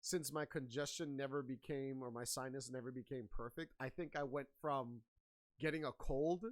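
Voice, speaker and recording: A man's voice: mid-range voice, steady tone, moderate pace. A man hosting a casual podcast chat in a hyped, slangy, playful way. He sounds a bit nasal and congested while getting over a sinus infection. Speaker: podcast host.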